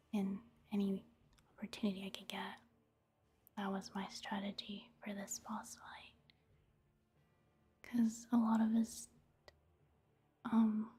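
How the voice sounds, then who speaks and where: A woman speaking in a soft, breathy near-whisper, giving gentle, rambling ASMR commentary on a video game. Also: on the high side. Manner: unhurried, rambling, gentle murmur. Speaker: ASMR gaming video host.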